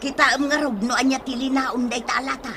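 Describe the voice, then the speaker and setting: Actress as high-pitched, curious rural woman with an eye for riches, Ilocano accent, village comedy drama. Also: fairly even intonation, fast talker, noisy background. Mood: amazed. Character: curious, nosy, money-minded village woman, sly accomplice. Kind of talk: Ilocano comedy radio-style drama acting.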